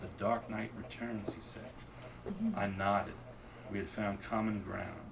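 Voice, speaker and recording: A man reading his fiction aloud at a bookstore event, deadpan and wry, and losing his voice. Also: lowish voice, unhurried, vocal fry. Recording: distant mic, noisy room. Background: audience laughter.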